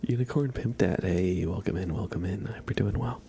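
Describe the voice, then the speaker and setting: A man hosting an ASMR livestream, chatting casually in a breathy whisper. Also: low voice, brisk, dark tone, a little hiss or hum. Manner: casual chat, greeting viewers by name. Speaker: livestream host.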